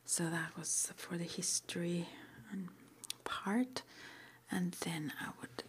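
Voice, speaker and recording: A woman whispering an ASMR roleplay interview, playing a witch school interviewer with gentle, reassuring, hesitant phrasing. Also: fairly low pitch, steady tone, slow pace.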